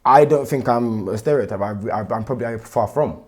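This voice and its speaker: A man's voice: medium pitch, fairly quick. A young man with a London accent, co-hosting a casual panel discussion and building his points earnestly, often checking that he is understood. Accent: London English. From England. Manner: earnest, builds points with repeated "do you get what I'm saying". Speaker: panel co-host.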